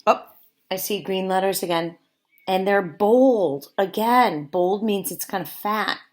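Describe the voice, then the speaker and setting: A woman teacher reading aloud to young children in simple, deliberate phrasing, pausing to explain as she goes. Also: mid-range voice. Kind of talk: read-aloud lesson with explanatory asides.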